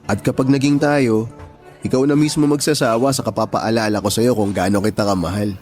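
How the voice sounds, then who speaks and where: A man's voice: fairly low pitch, steady tone, fairly quick, a little mellow, faint music behind, natural voice. A man playing a devoted, sweet-talking young suitor in a Filipino radio love drama, earnest and reassuring.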